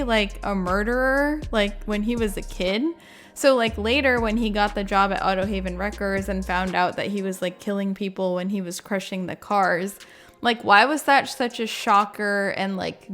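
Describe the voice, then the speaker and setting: A woman's voice: medium pitch, a bit bright. Casual woman commentating over music. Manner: informal, thinking aloud. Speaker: video game content creator.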